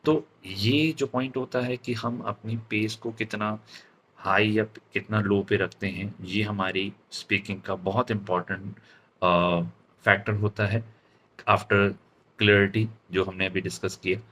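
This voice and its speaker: An Indian man with a low voice teaches like a speaking coach. He takes his time, moving slowly and deliberately and leaning on important words. A faint noise sits behind him. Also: fairly even intonation, pushed and bright, low-quality recording. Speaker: public speaking trainer. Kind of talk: instructional lesson mixing Hindi and English.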